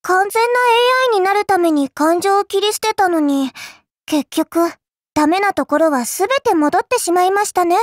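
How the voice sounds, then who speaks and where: A woman's voice: very high-pitched, moderate pace, dry recording, studio quality. A woman voicing a small AI servant girl in an anime mobile game, sweet and polite with a prim, smug edge. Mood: smug, appraising.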